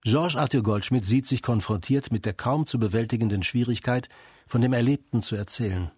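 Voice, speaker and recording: A man narrating a radio feature in a measured, composed, scripted way. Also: mid-range voice, darkish tone, breathy edge, no room sound, clean studio sound.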